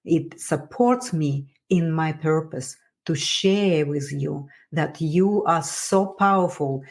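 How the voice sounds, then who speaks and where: A woman with a strong Russian accent, speaking as a wellness coach in an encouraging motivational talk, halting as she searches for words. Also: deep voice. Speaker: wellness coach and podcast host.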